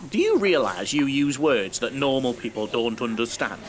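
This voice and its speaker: A man's voice: mid-range voice, sing-song, normal speed, close to an everyday voice. An actor playing a naive, lonely, chatty middle-aged man with a Northern English accent in an audio play, blunt and earnest, given to comic oversharing. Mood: earnest, pleading.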